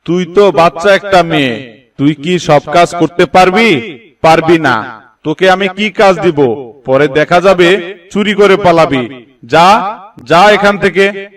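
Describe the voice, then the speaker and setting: A man's voice: high voice, slow, pauses often, far from the mic. A man playing a suspicious, dismissive eatery owner in a cartoon, curt and scolding. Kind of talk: Bengali moral cartoon voice acting.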